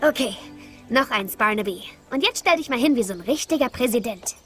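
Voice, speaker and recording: A woman playing a cheeky, teasing teenage girl in an animated film, playful and sarcastic. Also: fairly high pitch, brisk, music in the background, lightly acted. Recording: clear background noise.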